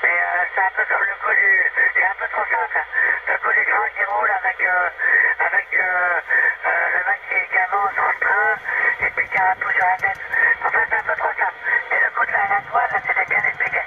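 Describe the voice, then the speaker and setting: A man chatting casually on CB radio, halting as he searches for words. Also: sits high, level tone, muffled recording. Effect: CB radio transmission. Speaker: amateur CB radio operator. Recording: distant, thin, very noisy CB radio. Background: heavy radio static.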